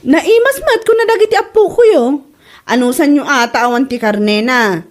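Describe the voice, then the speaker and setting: A woman's voice: high voice, animated, normal speed. A woman playing an exasperated, sarcastic daughter-in-law in a comedy audio drama, exclaiming and complaining. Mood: defensive. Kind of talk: comedy radio drama acting.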